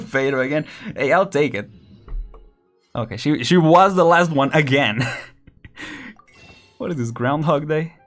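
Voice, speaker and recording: A man's voice: a little high, lively intonation, slow, pauses often, a bit bright, faint music behind. A young man casually commenting while streaming a game, chatty and laughing often, counting down as he plays. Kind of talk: casual live gameplay commentary.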